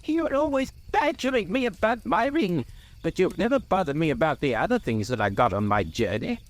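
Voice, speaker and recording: A man's voice: sits high, sing-song, measured pace, pitched above the performer's own voice. A man voicing a stubborn, suspicious hobbit in a storybook, with a stammering, defensive, insistent manner. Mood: suspicious, annoyed. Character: stubborn, suspicious hobbit clinging to his treasure.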